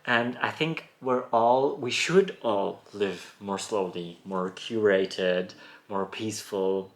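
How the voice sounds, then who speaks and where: A thirty-something man hosts a solo podcast from his rural home. He talks in a thoughtful, musing way, laughing softly now and then. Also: on the low side, slow pace. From born in Poland, raised in Germany. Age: 30s. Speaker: podcast host. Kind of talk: reflective solo podcast talk.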